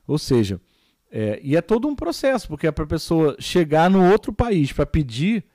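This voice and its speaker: Brazilian man teaching, dark dry voice. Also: fairly high pitch, very animated, moderate pace, no echo. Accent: Brazilian Portuguese. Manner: explanatory, many fillers and tag questions. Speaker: geography teacher. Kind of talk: recorded online lesson.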